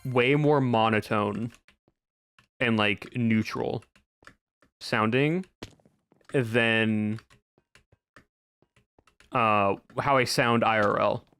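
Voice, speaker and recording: A man talks casually to his stream chat through a home streaming microphone. His delivery is somewhat monotone and flat, and he rambles and restarts his sentences as he goes. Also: fairly low pitch, extremely slow, pauses often, smooth. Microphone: home streaming microphone with a filter.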